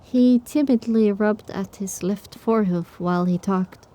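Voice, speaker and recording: A woman's voice: medium pitch, measured pace, warm tone. A woman reading scripted first-person story narration for an audio drama.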